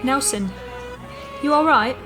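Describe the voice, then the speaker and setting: A woman's voice: sits high, takes it slowly, over music. An actress playing a caring, plain-spoken common woman in a fantasy audio drama, folksy and hesitant. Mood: concerned.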